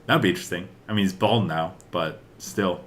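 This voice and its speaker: Male podcast co-host, low register, loose conversational delivery that wanders as he thinks. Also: brisk, fairly bright tone. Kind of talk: casual chat about games and films.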